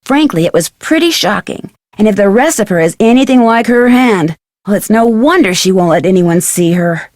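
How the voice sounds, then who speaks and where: A woman's voice: mid-range voice, expressive, moderate pace, darkish tone, no room sound, studio quality, natural voice. A woman playing a curious young female detective in a video game, speaking conversationally with an American accent in an earnest, matter-of-fact way. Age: young adult. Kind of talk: video game dialogue acting, conversational phone chat.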